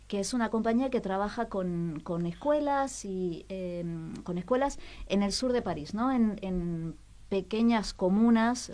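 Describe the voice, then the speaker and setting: A woman hosting a radio culture segment, talking casually with many small pauses and fillers. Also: medium pitch, mellow. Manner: chatty, hesitant with frequent fillers.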